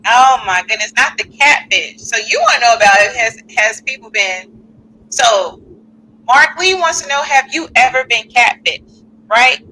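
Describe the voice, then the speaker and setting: A woman hosting a live call-in podcast, chatty and animated, with speech and reading out listener comments. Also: fairly high pitch, measured pace, slight background noise, low-quality recording. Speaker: podcast host.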